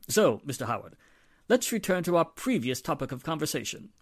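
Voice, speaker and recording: An actor playing a middle-aged, polite and hesitant wealthy father with a measured manner in a 1940s-style radio drama. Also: a little high, big pitch swings, husky, no room sound, studio quality, heavily acted. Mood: apologetic, placating.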